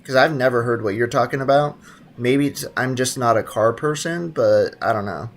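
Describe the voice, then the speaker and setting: A man's voice: medium pitch, normal speed. A young man, chatting casually with his live-stream audience while playing a video game. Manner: chatty, greets chat, talks to himself while playing. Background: faint game music.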